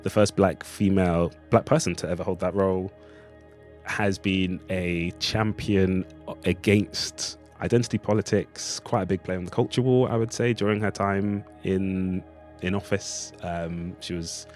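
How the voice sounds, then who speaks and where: Male artist interviewee, low register, reflective and halting, choosing words carefully over prominent music. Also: a little mellow. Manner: hesitant, thoughtful, hedging. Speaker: playwright and artist, interview guest.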